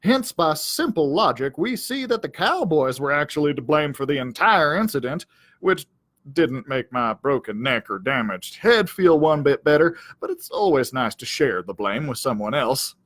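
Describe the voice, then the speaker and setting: Man playing a pompous, aggrieved ranch cowdog, higher-pitched. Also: sing-song, normal speed, a bit bright, no echo. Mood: bitter, self-righteous. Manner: pompous, melodramatic, wordy. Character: self-important, aggrieved male ranch cowdog telling his tale.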